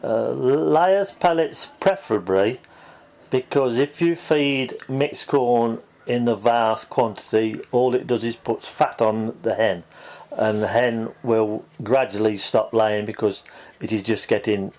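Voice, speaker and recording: A man of medium pitch answers as a practical expert. His delivery is informal, matter-of-fact and plain-spoken. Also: slow pace, a little mellow. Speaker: farm technician, poultry expert.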